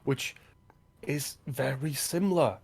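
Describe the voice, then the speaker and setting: A man's voice: medium pitch, slow, vocal fry, darkish tone, slightly rough. A man co-hosting a film podcast, talking casually and with enthusiasm about movies he likes. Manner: enthusiastic, recommending.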